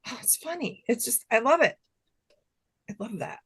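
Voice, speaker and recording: A woman's voice: a little high, sing-song, moderate pace, fairly bright tone, husky. A woman author chatting casually as an interview guest, enthusiastic and repeating phrases for emphasis. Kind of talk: casual chat.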